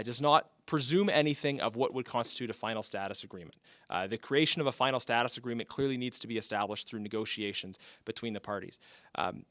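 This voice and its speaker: Halting man answering debate questions. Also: medium pitch, fairly bright tone, no room sound. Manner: halting, many restarts. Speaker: political candidate. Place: mosque hall.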